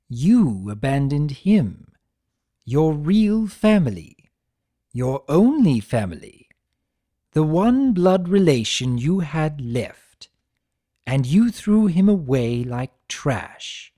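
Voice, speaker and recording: A man voicing a theatrical chaos spirit for a dramatic story reading, taunting yet sympathetic, with emphatic, drawn-out phrases. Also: a little high, sing-song, dark tone, heavily acted. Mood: earnest, pressing.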